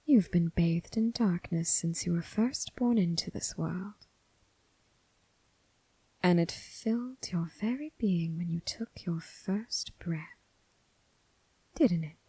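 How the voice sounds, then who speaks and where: A woman acting as a seductive, coolly amused and menacing crime boss in an ASMR roleplay, speaking in a breathy near whisper with deliberate, teasing, formal phrasing. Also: on the low side, warm tone, no room sound, full character voice, rough recording. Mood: intimate, knowing.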